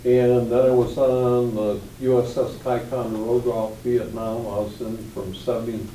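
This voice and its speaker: A man's voice: mid-range voice, level tone, moderate pace, warm tone, a little hiss or hum. A man in his sixties giving a plain spoken introduction of himself. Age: 60s. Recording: echoey room, slightly distant mic.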